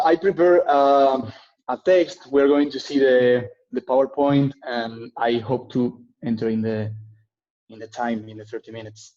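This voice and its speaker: A man's voice: medium pitch, warm tone, lo-fi sound. A young man with a Spanish accent, a native Spanish speaker, reading an academic presentation in hesitant, stumbling English. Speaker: doctoral researcher giving a talk. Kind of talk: academic presentation read from a prepared text.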